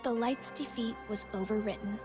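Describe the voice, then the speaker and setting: High-voiced earnest young heroine, acted by a woman, music behind. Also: moderate pace, natural voice. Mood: solemn, earnest. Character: earnest young heroine recounting her friends' battles. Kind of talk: scripted story recap narration.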